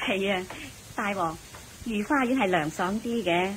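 An actress playing a gentle, attentive royal consort in a radio drama, soothing and coaxing. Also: female voice, medium pitch, a little slow, close to an everyday voice. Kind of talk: Cantonese radio drama acting. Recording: faintly noisy radio drama recording.

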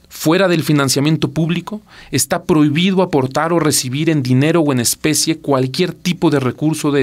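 A middle-aged man with a Mexican Spanish accent, a court legal officer giving a measured, formal scripted narration in a studio. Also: medium pitch. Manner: measured, formal explanatory reading. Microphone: studio mic on boom arm.

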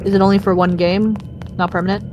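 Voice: mid-range voice, little pitch movement, fast talker, muffled recording, background noise. A player chatting casually with friends during a game, nervous and often repeating short phrases. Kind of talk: casual gameplay chat with friends.

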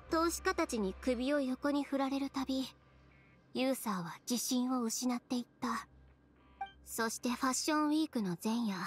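A proud young fashion designer from an anime game. Her voice sits a little high, yet she stays cool and composed. The delivery is measured and matter-of-fact, over faint music.

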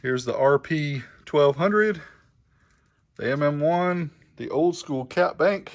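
A man's voice: fairly high pitch, no room sound. Middle-aged man reviewing car audio gear. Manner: chatty, explains specs step by step. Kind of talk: casual product review. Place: outdoors on a driveway, later inside a vehicle.

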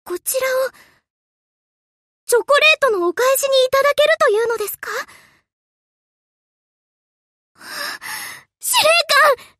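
Very high, animated girlish anime shipgirl. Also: brisk, no echo, clean studio sound. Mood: earnest gratitude. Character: girlish anime shipgirls, sweet and polite, thanking their commander. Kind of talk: anime game voice lines.

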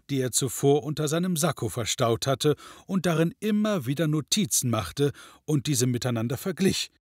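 A man's voice: medium pitch, dry recording, studio quality. A man reading scripted narration as the narrator of an audio drama. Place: dry studio.

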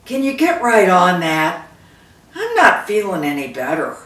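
Older lady doing a dying old king from a tale, fond and stately, slow, far from mic. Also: fairly low pitch, sing-song, a bit bright. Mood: earnest. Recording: roomy echo, distant microphone.

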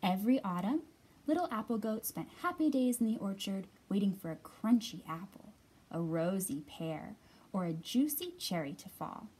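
A woman's voice: mid-range voice, lively intonation, moderate pace, a little mellow, husky, low-quality recording. A woman in her thirties hosting a children's storytime, reading and talking expressively to young listeners as a librarian. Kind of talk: picture book read-aloud and storytime talk for young children.

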